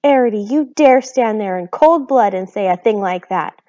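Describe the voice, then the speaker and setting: A woman's voice: fairly high pitch, animated, measured pace, darkish tone, dry recording. A woman voicing a fretful, tearful little borrower mother with an anxious, quavering way of talking. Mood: distraught. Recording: slightly dull lo-fi recording.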